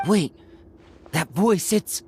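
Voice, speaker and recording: A sincere, cautious young adventurer. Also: female voice, fairly low pitch, lively intonation, takes it slowly, mellow, slight background noise. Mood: uncertain. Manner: questioning, earnest. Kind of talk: video game acting. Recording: faint music underneath.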